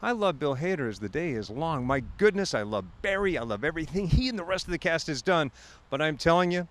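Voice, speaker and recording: A man's voice: a little high, very animated, fast talker. A man hosting an entertainment show, interviewing actors and talking to the camera with jokey enthusiasm. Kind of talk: upbeat interviewer banter.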